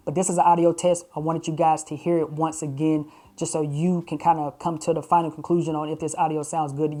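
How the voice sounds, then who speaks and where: A man in his thirties reviewing tech gear to camera from a home studio, talking in a relaxed, conversational way with lots of fillers. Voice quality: self-described high-pitched voice. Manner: relaxed, conversational, many "uh" and "you know" fillers. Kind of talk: casual product review to camera. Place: home studio room with shelves and purple lights. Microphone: desk broadcast mic, later clip-on wireless mic.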